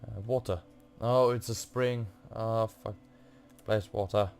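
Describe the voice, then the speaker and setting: A man's voice: fairly low pitch, fairly even intonation, takes it slowly, gravelly, a little mellow, clean tone, faint music behind. A man giving casual gameplay commentary, thinking aloud in a hesitant, muttering way. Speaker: gamer playing through a quest.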